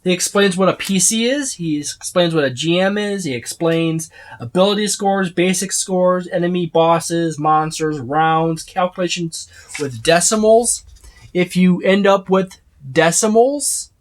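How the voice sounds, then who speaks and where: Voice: mid-range voice, measured pace. A person giving a casual, unscripted overview of a game rulebook, rambling and repeating points for emphasis as they itemize. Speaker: tabletop game book reviewer.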